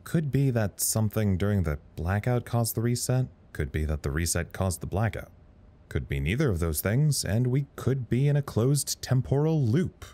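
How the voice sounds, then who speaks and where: An actor playing a wryly philosophical staffer at a trapped research site, musing dryly in an audio drama log. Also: male voice, lowish voice, expressive, normal speed, dark tone, close to an everyday voice. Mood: pensive. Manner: musing, dry, wry.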